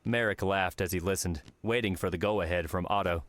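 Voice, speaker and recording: A man's voice: low-pitched, brisk, a bit bright, no echo. A man narrating an audiobook, reading scripted fiction.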